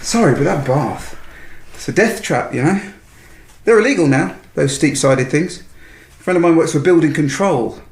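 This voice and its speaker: A man's voice: fairly high pitch, lively intonation, moderate pace, vocal fry. A man with a British accent playing a casual, matter-of-fact policeman in a naturalistic radio drama. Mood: chatty. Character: chatty, probing male policeman, matter-of-fact. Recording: echoey room.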